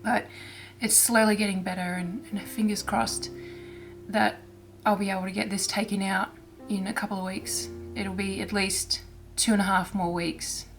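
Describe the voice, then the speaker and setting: A woman hosting her own crafting vlog in casual chat, her voice hoarse and raspy from throat irritation, talking softly and haltingly with frequent restarts. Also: mid-range voice, little pitch movement, over music. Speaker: cross-stitch vlogger.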